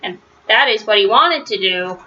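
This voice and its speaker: Bright-voiced woman reading a children's storybook. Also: medium pitch, expressive, muffled recording. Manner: simple, deliberate phrasing with stressed words for young listeners. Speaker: storybook reader. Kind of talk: children's read-aloud narration.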